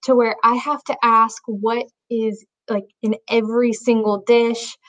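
A woman's voice: fairly high pitch, little pitch movement, measured pace. A young adult woman, a guest who teaches sign language, talking casually and rambling with lots of "like" and restarts. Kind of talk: casual conversation. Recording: dull lo-fi audio.

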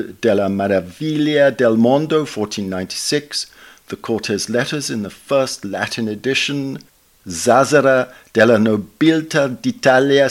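A man reading a scripted book review aloud in a formal, measured, scholarly manner. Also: medium pitch.

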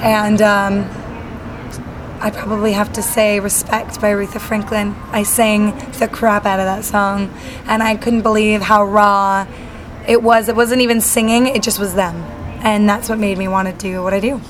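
A woman's voice: mid-range voice, level tone, moderate pace. Young woman, mid-range voice, bubbly and chatty, faint hiss behind. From Salt Lake City, Utah. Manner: bubbly, chatty, many fillers. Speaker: singer-songwriter guest. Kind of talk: casual interview.

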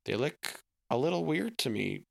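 A man's voice: mid-range voice, big pitch swings, moderate pace, vocal fry, no room sound, studio quality, pitched above the performer's own voice. A man voicing a folksy, overly sweet small-framed father who talks in homespun phrases. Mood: cheery folksy.

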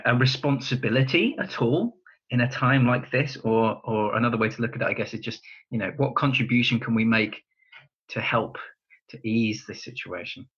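A man's voice: mid-range voice, bright, forceful tone, slightly rough, lo-fi sound. A man hosting a casual interview, putting his questions tentatively and rephrasing them as he goes. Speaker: interviewer, host.

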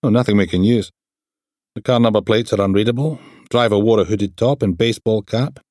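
Narrator as a male detective sergeant, deadpan, factual, patient, close dry recording, audiobook. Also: lowish voice, a little fast, no echo, close to an everyday voice.

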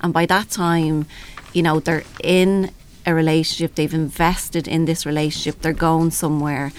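A woman with an Irish accent speaking haltingly as a helpline manager in a panel discussion. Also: deep voice, level tone, clear, resonant tone.

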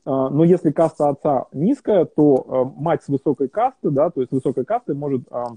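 A man's voice: a little high, expressive, fairly quick, mellow, slightly rough, dry recording. A man being interviewed, talking in a casual, hesitant way with many fillers and restarts. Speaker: interview guest. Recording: tinny phone-like line.

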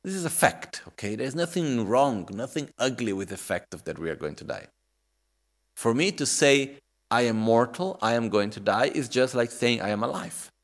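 Thirty-something man giving a lively dharma talk. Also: medium pitch, moderate pace. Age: 30s. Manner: reflective, repeats questions to the audience with "okay?" and "no?"; non-native English phrasing. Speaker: Buddhist teacher.

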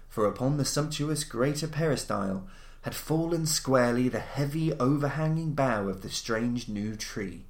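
A man with a mid-pitched voice narrates classic fiction in a roomy, echoing space. His delivery is formal and measured, in the manner of an audiobook reader of old horror tales. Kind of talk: literary story reading, scripted narration.

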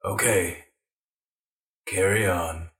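A man's voice: low-pitched, steady tone, extremely slow, a bit bright, full character voice. A man playing a meek, creepy alley beggar in an improvised radio play, apologetic and awkward. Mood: sheepish, eerie. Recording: echoey room.

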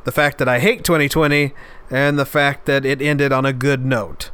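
A man's voice: mid-range voice, steady tone, normal speed, bright, forceful tone, clear, resonant tone. A male podcast host speaking with a nasal, stuffed-up voice in an earnest, heartfelt, reflective monologue. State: stuffed up after an illness.

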